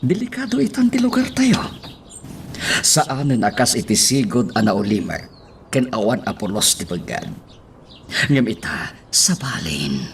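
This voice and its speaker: A man's voice: medium pitch, very animated, a little slow, slight background noise. An actor with an Ilocano accent playing a protective father and crime boss in a radio drama. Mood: protective, grave.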